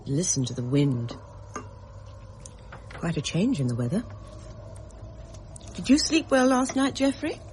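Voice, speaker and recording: An actress playing a protective, brisk mother in a radio drama, concerned and gently scolding. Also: fairly low pitch, big pitch swings, slight background noise. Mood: concerned, fussing.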